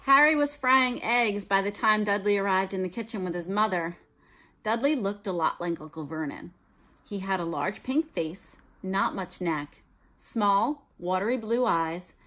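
Lively American woman reading aloud. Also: medium pitch. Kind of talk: expressive children's read-aloud with teaching asides. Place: small room at home, indoors.

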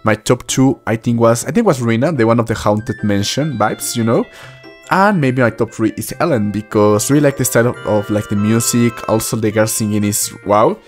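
A man in his twenties giving casual, halting, repetitive reaction commentary as a video host, recorded close up in a small room. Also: medium pitch, faint music behind. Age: 20s. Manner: halting, repetitive phrasing with filler words. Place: small indoor room at a desk.

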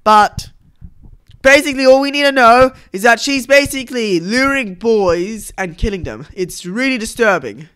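Young adult game YouTuber, casual reaction commentary, sharp bright tone, mid-range pitch. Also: animated, moderate pace, smooth, rough recording. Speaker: YouTuber reviewing game cutscenes.

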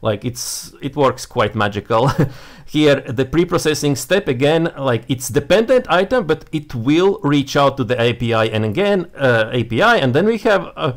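Hesitant Latvian-accented man explaining software. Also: medium pitch, very animated, normal speed. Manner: hesitant, many fillers and restarts. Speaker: software tutorial presenter. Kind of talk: screen-share software walkthrough. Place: small room indoors.

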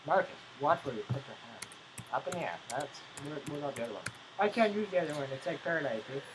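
A young man joking casually as co-host of a gaming video, excitable and exclamatory, often playfully scolding. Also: a little high, quick pace, background noise. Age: young adult.

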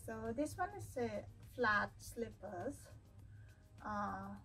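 A woman in her thirties with a Filipino accent, talking slowly and easily as she shows off new shoes. She thinks aloud with small fillers. She sits a little back from the mic, with faint music underneath. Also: mid-range voice, bright, forceful tone, far from the mic, poor audio. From Philippines. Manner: chatty, mixes Tagalog into English, thinks aloud with fillers. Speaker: fashion vlogger. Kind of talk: casual unboxing haul review. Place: home room with wooden drawers, indoors.

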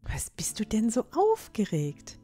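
Earnest, thoughtful village girl in her teens played by a woman, mellow tone, fantasy audio play. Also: fairly high pitch, wildly swooping pitch, unhurried, faint music behind, exaggerated voice. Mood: friendly. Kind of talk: audio drama acting.

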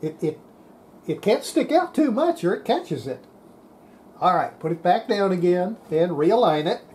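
An older man giving an unscripted hands-on repair demonstration, halting and muttering to himself as he works at a bench. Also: high-pitched, very animated, a little mellow, a little hiss or hum. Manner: halting, muttering to self while working. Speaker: radio repair hobbyist, presenter. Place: indoor workbench.

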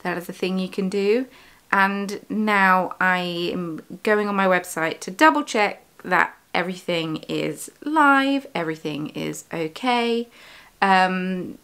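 A woman's voice: fairly low pitch, a bit bright. Chatty woman rambling on camera. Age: young adult. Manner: chatty, rambling, many fillers and self-corrections. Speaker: yarn shop owner and vlogger. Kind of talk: casual studio vlog. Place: living room at home, indoors. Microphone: camera on desk at close range.

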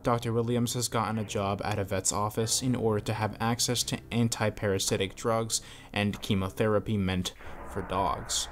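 A man's voice: on the low side, steady tone, normal speed, faint music behind. A man telling a horror story in conversational scripted narration. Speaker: narrator of a horror series explainer video.

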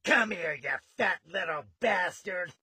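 A man voicing a sinister, gleeful ice cream man villain in a video game, with a raspy voice and a theatrical, menacing, taunting manner. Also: a little slow, pushed and bright, dry recording, studio quality, exaggerated voice. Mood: angry. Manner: theatrical, menacing, sing-song taunting with swooping pitch.

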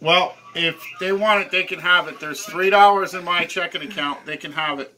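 A man in his forties playing dumb on a phone call, talking loudly and earnestly from a small room at home. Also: high voice, normal speed. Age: 40s. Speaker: prank caller baiting a phone scammer. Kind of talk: deadpan prank phone call. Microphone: camera on a table, phone held to ear.

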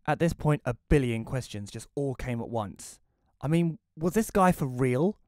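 A man's voice: medium pitch, lively intonation, normal speed, a little mellow, no room sound, close to an everyday voice. A man playing a reclusive, wry widower, narrating an audio play in the first person in a dry, reflective way. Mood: bemused, wry.

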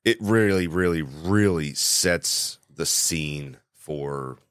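A man's voice: very deep voice, slow, a bit bright, clear, resonant tone, dry recording, studio quality. A man co-hosting a movie podcast, laid-back and agreeable, musing casually with many "you know"s. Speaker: podcast co-host. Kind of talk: casual movie review chat.

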